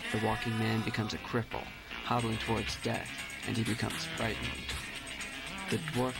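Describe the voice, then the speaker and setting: Man, creaky low voice, solemn measured literary reading, loud music underneath. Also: rough recording.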